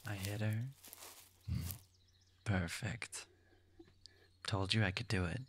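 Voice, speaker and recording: A man's voice: fairly low pitch, fairly even intonation, slow, breathy edge. A man playing a cocky, callous hunter in an audio drama, curt, bossy and sneering. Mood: smug. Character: cocky, callous male human bounty hunter. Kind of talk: amateur audio roleplay drama.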